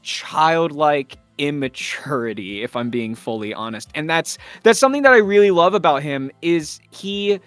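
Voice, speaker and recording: A man's voice: on the high side, expressive, normal speed, few pauses, a bit bright, clean tone, no echo, soft background music. A man giving thoughtful, earnest interview answers in a conversational way, stressing his key words. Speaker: voice actor being interviewed.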